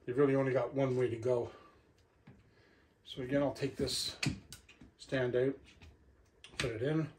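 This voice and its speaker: A man's voice: mid-range voice, little pitch movement, a little slow, long pauses, darkish tone. A middle-aged man giving a casual hands-on unboxing review, talking half to himself as he handles the gear in a living room. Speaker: product reviewer. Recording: clicks and clear background noise.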